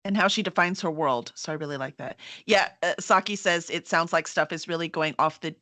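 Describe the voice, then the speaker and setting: A woman with a bright, crisp-edged voice hosts an online book chat. She speaks at a middling pitch in an effusive, encouraging way, like a publisher championing an author in live conversation. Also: brisk.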